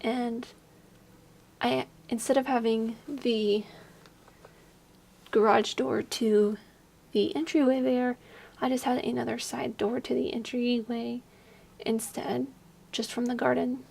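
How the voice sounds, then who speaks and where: A young woman giving casual voice-over commentary on a game build, speaking quietly at night while slightly hoarse from losing her voice, rambling with lots of fillers. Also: on the high side, level tone, slow pace, long pauses. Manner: rambling, chatty, many fillers; hushed, keeping quiet at night. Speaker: video creator. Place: home at night, at a desk.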